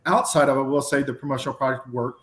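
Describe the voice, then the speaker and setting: A man's voice: medium pitch, steady tone, fast talker, fairly bright tone, reverberant. A man with a Southern drawl, a podcast guest who runs his words together and fills with um and you know. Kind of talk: casual podcast conversation.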